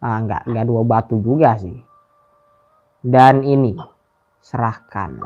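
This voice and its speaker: A man's voice: mid-range voice, moderate pace, dark tone, lo-fi sound. A man with an Indonesian accent giving casual, joking game commentary, slangy and sweary. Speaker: gaming streamer.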